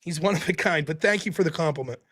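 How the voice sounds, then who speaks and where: A man hosting a livestream, reading out viewer donations and reacting, with a high-pitched voice and heavy breathing, profane and prone to yelling. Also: fast talker. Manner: animated, profane, yells about politics. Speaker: livestream host reading super chats. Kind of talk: casual livestream chat.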